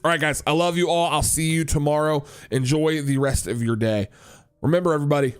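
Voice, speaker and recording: A man's voice: on the high side, brisk, clean tone. A man hosting a livestream, chatting casually and rambling with many restarts as he answers viewers. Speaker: livestream host and worship leader.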